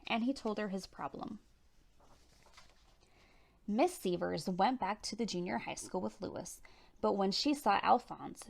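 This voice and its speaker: Animated woman reading a children's storybook. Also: medium pitch, creaky. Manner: animated, dramatic emphasis. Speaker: storytime reader. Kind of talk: expressive children's picture-book narration.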